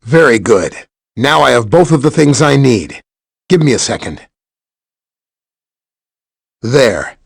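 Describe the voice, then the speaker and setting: An actor playing a helpful, earnest scientist superhero in a video game, explaining technical matters in a friendly way. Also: male voice, medium pitch, very animated, takes it slowly, vocal fry, long pauses, slightly rough, full character voice. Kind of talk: scripted game dialogue, explaining and briefing.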